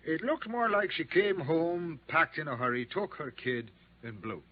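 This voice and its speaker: An actor playing a hard-boiled but wry, worried police detective with slangy talk in a 1950s radio crime drama. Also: male voice, a little high, unhurried. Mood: matter-of-fact, worried. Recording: dull, noisy 1950s radio recording.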